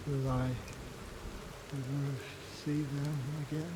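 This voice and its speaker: An actor playing a weary, regretful man at the end of his life in a video game, talking in a halting, wistful way. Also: mid-range voice, level tone, background noise, lightly acted. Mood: wistful, uncertain. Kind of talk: dramatic video game voice acting.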